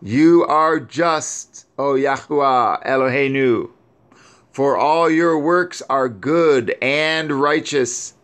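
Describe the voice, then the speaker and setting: A man's voice: medium pitch, takes it slowly, fairly bright tone, smooth. A man reading the part of a grieving elderly mother, lamenting and pleading over her son. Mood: mournful.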